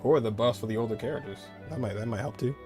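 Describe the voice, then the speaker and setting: A man's voice: medium pitch, fast, music in the background. A man streaming a video game, giving casual, laid-back live commentary in short muttered reactions.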